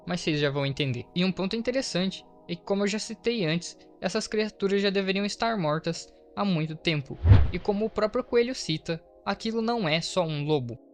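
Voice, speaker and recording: A man with a Brazilian accent hosts a horror recap video. His voice sits a bit high, and he explains the story with casual asides. Music plays softly behind him. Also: a little fast. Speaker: video host, narrator. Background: faint to clear background music.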